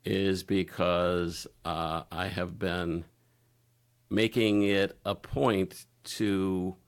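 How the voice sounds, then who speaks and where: A man speaking candidly as a podcast guest, halting and searching for his words. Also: low voice, flat delivery, smooth. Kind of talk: candid personal conversation.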